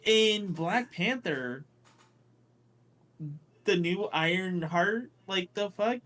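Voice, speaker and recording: A man with a voice a little higher than average talks as a podcast co-host. His style is casual and conversational, full of blunt opinions and loose swearing. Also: lively intonation, drawn-out words, pushed and bright. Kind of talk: casual pop culture chat.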